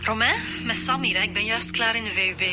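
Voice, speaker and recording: Casting: actress as keen Flemish policewoman for radio drama, higher voice, brisk and insistent, music behind. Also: close to an everyday voice. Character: eager, persistent female police detective.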